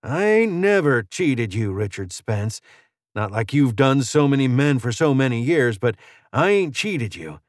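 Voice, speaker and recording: Cold, rasping gunslinger returned from the grave for revenge, folksy frontier drawl, close dry storybook sound man performing. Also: mid-range voice, very animated, measured pace, no echo. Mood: taunting, defiant. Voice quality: raspy. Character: rasping cold vengeful gunslinger back from the dead.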